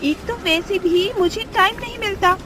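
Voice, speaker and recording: A woman voicing a flirty, bossy, quick-tempered witch ghost in a cartoon, with a shrill, nagging delivery. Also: background noise. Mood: pouting, flirty. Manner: nagging, teasing. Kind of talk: animated horror-comedy story acting.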